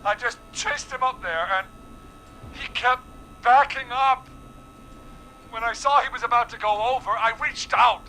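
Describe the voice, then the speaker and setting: A man's voice: very high-pitched, measured pace, long pauses, bright, forceful tone, a little hiss or hum, heavily acted, poor audio. An actor playing an arrogant, defensive young man from a powerful small-town family in a video game, sounding entitled and self-justifying. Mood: angry, defensive.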